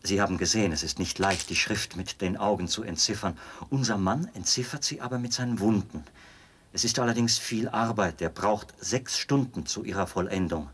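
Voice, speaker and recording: An actor playing a zealous, pedantic execution officer in a radio drama, explaining with precise, emphatic delivery. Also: male voice, lowish voice, normal speed, fairly bright tone, a little hiss or hum, close to an everyday voice. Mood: matter-of-fact. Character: zealous male execution officer, pedantic, devoted to his machine.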